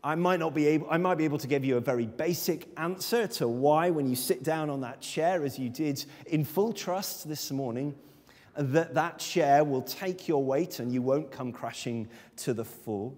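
A man's voice: a little high, moderate pace, a little mellow. A man in his thirties preaching a sermon at a lectern in a church, earnest and emphatic. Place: church sanctuary, speaking at a lectern. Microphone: far camera. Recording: long church reverb.

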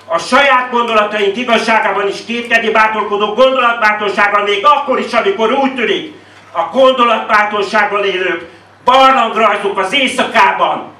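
A man's voice: high voice, pushed and bright. A man from Hungary performing slam poetry live in a declamatory, rhetorical style with repeated phrases. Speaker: slam poet. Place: indoor live poetry venue. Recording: roomy echo, distant microphone.

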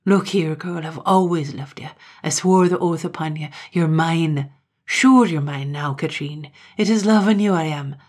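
A possessive, murderous Highland man from a read-aloud tale, put on by a woman. His voice is husky and a little low, and he pushes every line with forceful menace. It sounds like a storyteller's villain. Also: measured pace. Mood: menacing. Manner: menacing, pleading, forceful dialect speech.

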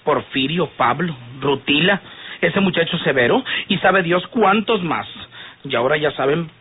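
Radio drama casting: prissy, petulant Mexican man, slightly high husky voice, fretting over his honor. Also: lively intonation, a little slow. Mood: grave, reproachful. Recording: muffled, phone-like old radio recording.

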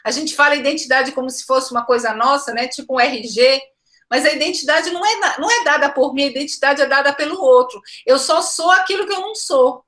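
High, bright-voiced woman professor from Pará. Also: a little fast. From Pará, Brazil. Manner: reflective, explanatory, repeats words while searching. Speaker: education professor, guest. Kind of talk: academic interview answers. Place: home room indoors. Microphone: laptop webcam on video call.

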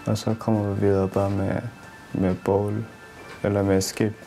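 A young man from Afghanistan speaks haltingly in a low, very flat voice. His voice is gravelly and dark. Soft music plays behind him. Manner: halting, plain phrasing. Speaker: young refugee interviewee.

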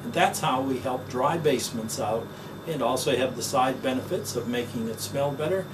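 An older man pitching his own product in a plain, folksy way with frequent "uh"s, demonstrating it indoors. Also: normal speed. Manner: plain folksy sales talk with filler "uh"s. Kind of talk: promotional demonstration. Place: office, then a basement demo wall indoors. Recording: distant mic with audible fan hum.

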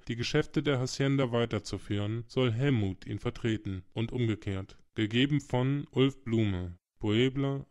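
A man playing a courteous notary in an audio drama, speaking in a formal, deliberate, official manner. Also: medium pitch, darkish tone, lightly acted. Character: formal, courteous, measured notary reading a will. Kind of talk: German audio drama acting.

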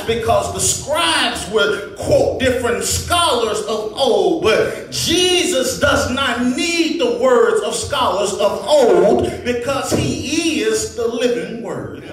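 A man preaching a sermon in an impassioned, oratorical way, using rhetorical repetition and addressing his congregation. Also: very high-pitched. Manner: impassioned, oratorical, builds from measured phrases to shouts. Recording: echoey hall, distant microphone.

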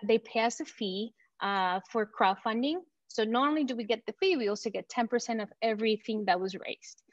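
A woman from Venezuela presenting her company in an enthusiastic, confident startup pitch. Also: no echo, lo-fi sound. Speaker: company founder pitching to investors.

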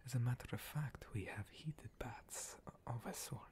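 A man playing a gentle, long-lived monster cleric in a whispered ASMR roleplay, breathy, courteous and a little hesitant, with formal phrasing. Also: medium pitch, normal speed, natural voice. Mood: gentle, hospitable.

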